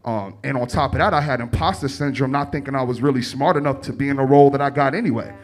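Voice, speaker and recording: A man's voice: mid-range voice, fast. A young man with a Chicago accent speaking on a panel, telling stories animatedly, acting out quotes and laughing often. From Chicago, United States. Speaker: tech panelist. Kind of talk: panel discussion.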